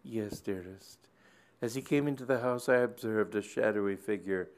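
A man playing a shy young male curate who speaks quietly and hesitantly. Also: fairly low pitch, normal speed, close to an everyday voice. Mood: confiding.

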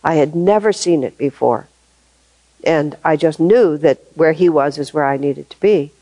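Older woman teacher, lowish mellow voice, thoughtful and measured, dry close sound. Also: no room sound, low-quality recording. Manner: thoughtful, measured, with frequent restarts. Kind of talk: reflective spoken talk.

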